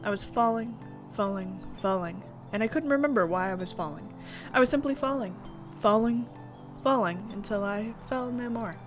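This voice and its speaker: A woman's voice: medium pitch, normal speed, music in the background, close to an everyday voice. A woman voicing a curious, wandering girl as she narrates a visual novel. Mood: pensive. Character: Alice, wandering curious girl narrating her dreamlike fall. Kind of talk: scripted visual novel narration read aloud.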